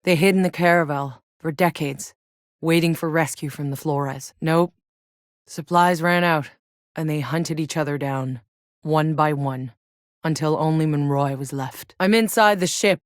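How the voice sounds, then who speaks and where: A woman's voice: low-pitched, unhurried, no room sound. An actress playing a tough, sardonic, weary but determined woman soldier in a video game, with a terse, dry, hard-edged delivery. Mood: grim, subdued. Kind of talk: acted video game voice lines.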